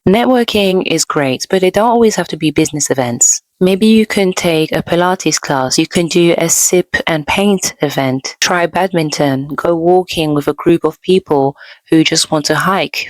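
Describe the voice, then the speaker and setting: A woman's voice: low voice, dry recording. A young woman originally from the Democratic Republic of Congo, seated indoors and speaking earnestly to camera with encouraging advice for women. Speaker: vlogger, landscape architect. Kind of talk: motivational talk to camera.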